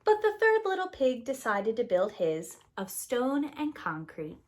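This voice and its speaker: A woman's voice: a little high, huge pitch swings, a little slow, slight background noise. A woman narrating a scripted children's story as a preschool teacher would.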